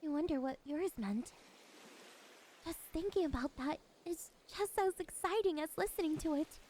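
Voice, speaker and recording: High-voiced, lonely, possessive siren, acted by a woman. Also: moderate pace, warm tone, a little hiss or hum, close to an everyday voice. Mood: entranced, coaxing. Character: lonely, possessive female siren, curious and soft-spoken. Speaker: voice actress. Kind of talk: ASMR roleplay monologue.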